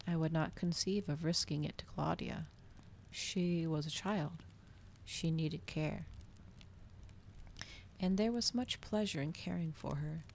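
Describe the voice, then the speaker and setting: A woman softly reading a story aloud in ASMR style, hushed and often slipping into a breathy whisper. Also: low voice, slight background noise. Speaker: streamer reading a novel aloud.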